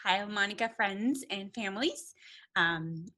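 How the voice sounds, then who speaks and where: A middle-aged woman teacher with a Romanian accent giving an informal welcome talk, often pausing to search for words. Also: medium pitch, pushed and bright. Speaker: second grade teacher.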